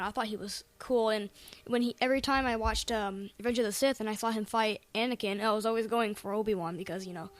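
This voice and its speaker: Halting higher-pitched woman, music behind. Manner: halting, repeats phrases, heavy use of "like". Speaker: podcast panelist. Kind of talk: casual fan chat.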